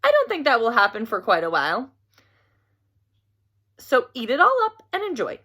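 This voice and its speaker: A woman's voice: on the high side, wildly swooping pitch, moderate pace, bright, forceful tone, full character voice. A woman voicing a cheerful, reassuring mother in a children's storybook. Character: Mother, cheerful caring parent of a little girl.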